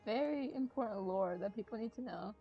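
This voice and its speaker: A woman's voice: animated, a little fast, vocal fry, muffled recording. A woman chatting casually and jokingly with a friend while playing a game, in a silly, giggly way. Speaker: video game player sharing gameplay with a friend. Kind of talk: casual joking chat over gameplay. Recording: low-quality voice chat, game music behind. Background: video game music.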